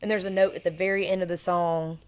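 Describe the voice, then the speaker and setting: Young female guest, raspy alto, earnest storyteller. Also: fairly low pitch, flat delivery, quick pace. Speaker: guest singer. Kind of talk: casual interview chat.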